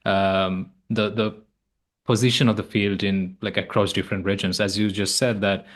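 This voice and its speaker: A low-voiced man hosts a podcast interview. He asks long, loosely built questions and keeps cheering his guest on with short encouraging words. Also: flat delivery, moderate pace. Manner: encouraging, says "wonderful" often. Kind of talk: conversational interview.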